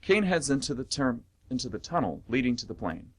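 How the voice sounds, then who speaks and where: A man's voice: mid-range voice, big pitch swings, brisk. A man narrating an amateur radio play, reading out scripted stage directions.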